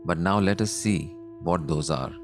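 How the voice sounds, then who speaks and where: A man with an Indian accent leading a guided meditation in a measured, deliberate manner with long pauses, teaching contemplatively. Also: low-pitched, music in the background.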